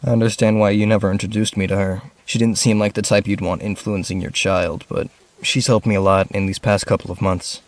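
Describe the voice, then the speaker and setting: A man's voice: on the low side, flat delivery, fairly quick, few pauses, dry recording, close to an everyday voice. A man playing a lonely, grieving rich teenage boy in an audio drama, earnest, introspective and hesitant. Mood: confiding.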